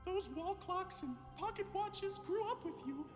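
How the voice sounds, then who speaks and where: A woman's voice: very high voice, measured pace. A woman voicing a childlike, cheerful and devoted cartoon clock companion in a video game. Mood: gentle, bright wonder. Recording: long hall reverb, loud game music.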